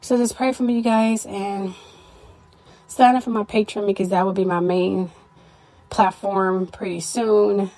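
A tired, stressed woman hosting her own podcast, talking candidly to listeners in a casual personal update, her voice a little hoarse. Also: medium pitch, steady tone, unhurried, mellow, poor audio. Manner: candid, weary, stressed, with frequent "you know". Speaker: podcast host.

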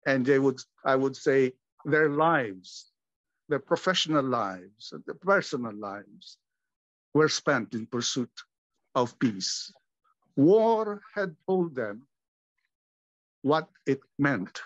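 An older man speaking at a memorial, measured and earnest, pausing often, talking from a small room at home over a video call. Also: medium pitch, no room sound. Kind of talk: slow reflective remembrance. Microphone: video call webcam. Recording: dull lo-fi video call audio.

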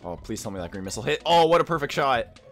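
Young male gamer, lively pitch, halting casual banter, faint music underneath. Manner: chatty, halting, repeats phrases. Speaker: gaming video host. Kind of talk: casual banter while playing a kart racing game.

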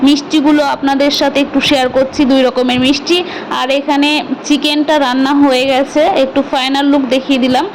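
A woman's voice: sits high, level tone, brisk, slight background noise, low-quality recording. A woman vlogger narrating her day casually and chattily, speaking straight to her viewers. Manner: chatty, addresses viewers directly.

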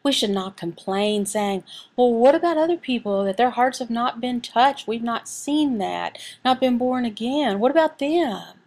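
Female sermon interpreter, slightly high voice, slow and deliberate phrase-by-phrase delivery. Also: expressive, a little mellow. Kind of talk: sermon and prayer, voiced from sign language.

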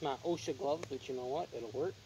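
A man's voice: mid-range voice, a little fast, mellow, a little hiss or hum, low-quality recording. A young man hosting a casual outdoor vlog, chatting easily and repeating words for emphasis, recorded outdoors in a backyard on a nearby camera mic. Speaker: vlog host. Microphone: camera mic on a tripod nearby.

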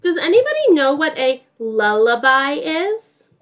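A woman's voice: sits high, sing-song, measured pace, heavy background noise. A woman teacher reading a storybook to young children and asking them questions in an encouraging, teacherly way. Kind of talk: storybook read-aloud with questions to a group of children.

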